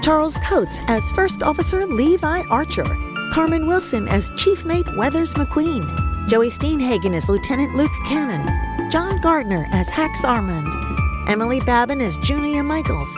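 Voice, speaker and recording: Woman, high-pitched voice, animated delivery, scripted credits read, loud music underneath. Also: unhurried. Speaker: podcast announcer reading credits.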